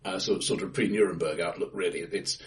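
A man co-hosting a casual film discussion, often repeating words as he forms his point, recorded in a bright, echoey room. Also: sits low, fairly even intonation, brisk, distant mic, noisy background. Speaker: podcast co-host. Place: acoustically bright room, face to face.

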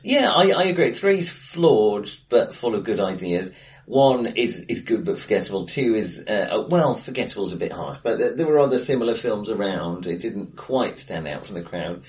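A man's voice: on the low side, animated, normal speed. Man chatting, distant from the mic. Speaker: podcast host. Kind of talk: casual film discussion. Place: untreated, acoustically bright room, face to face. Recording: distant mic in bright echoey room.

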